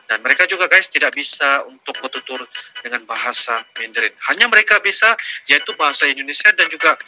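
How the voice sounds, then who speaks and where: A Malaysian-accented man with a very bright, forceful tone talks through a reaction video. He chats casually with his viewers, pausing often with fillers, over faint music. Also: on the high side, animated, low-quality recording. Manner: chatty, keeps saying "guys", hesitant fillers. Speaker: reaction YouTuber.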